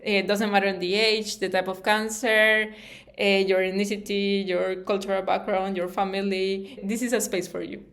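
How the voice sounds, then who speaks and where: A woman's voice: medium pitch, flat delivery, moderate pace, fairly bright tone. Costa Rican woman speaking accented English. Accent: Costa Rican Spanish. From Costa Rica. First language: Spanish. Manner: earnest, non-native English phrasing. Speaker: YouTube channel host and interviewer. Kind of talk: informal personal talk to camera.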